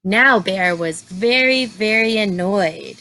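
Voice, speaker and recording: Bright, slow-paced woman reading to children. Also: medium pitch. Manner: expressive, deliberate, explains and asks simple questions. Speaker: teacher reading aloud to young children. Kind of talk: children's storybook read-aloud with asides and questions to listeners.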